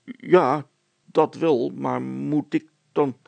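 A man's voice: medium pitch, fairly even intonation, drawn-out words, gravelly, dry recording, lo-fi sound, heavily acted. A man voicing a reluctant, worried village policeman in a story, hesitant and plaintive in his grumbling. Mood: bewildered.

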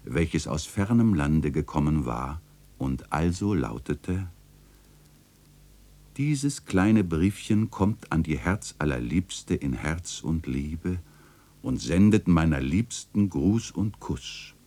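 An actor playing a reflective, nostalgic chronicler narrating a literary radio play in a measured, contemplative way. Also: male voice, very deep voice, lively intonation, mellow, full character voice. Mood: tender reading. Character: reflective, nostalgic male chronicler of an old city lane.

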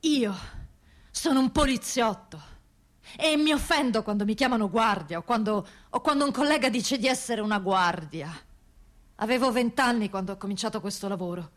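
Woman acting an earnest prison officer, higher-pitched voice. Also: moderate pace, lightly acted. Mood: earnest, hurt. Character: earnest prison police officer in their thirties, wounded pride. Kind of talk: theatrical first-person monologue.

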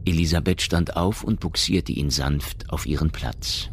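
A man narrating a science fiction radio drama in a grave, gravelly voice. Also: rumbling low, measured pace, slight background noise. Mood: measured, grave. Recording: music behind the voice.

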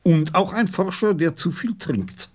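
Pompous old scholar, swooping and slow. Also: male voice, high voice, animated, husky, exaggerated voice. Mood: self-important. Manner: pompous, pedantic, emphatic. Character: pompous, self-important old scholar, a geographer. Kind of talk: radio play acting.

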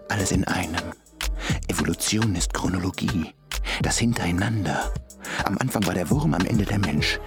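A male actor playing a wistful, lonely half-ape man in a brooding, halting radio drama monologue. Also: low voice, gravelly, full character voice. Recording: music playing behind the voice.

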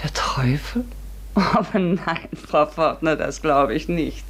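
Low-pitched, curious young lady visitor. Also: slow, husky, full character voice. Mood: curious, sceptical. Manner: polite, questioning. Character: curious, polite unmarried woman visitor, sceptical but unsettled. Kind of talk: German radio drama acting.

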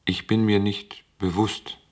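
A lonely thinking machine in a radio drama. The voice is low and speaks haltingly, with deliberate, literal phrasing and childlike puzzlement. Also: male voice, little pitch movement, darkish tone. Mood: puzzled, literal.

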